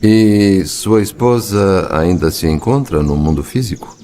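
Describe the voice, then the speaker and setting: Compassionate male spirit helper played by a man, low voice with animated melody, solemn and consoling, dramatized audiobook. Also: unhurried, a little hiss or hum. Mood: gentle concern.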